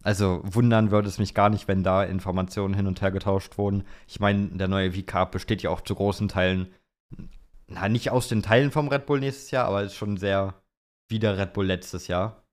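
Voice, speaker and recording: A man's voice: sits low, fairly even intonation, normal speed. A young man co-hosting a podcast, chatting informally with youthful slang. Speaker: podcast co-host. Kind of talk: casual podcast chat.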